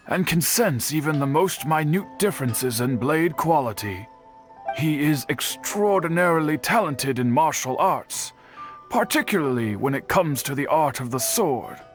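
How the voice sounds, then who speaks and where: An earnest young man speaks in a fairly high, measured voice while worrying over a missing friend. He stays composed but concerned. Faint game music sits behind him. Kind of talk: game voice acting.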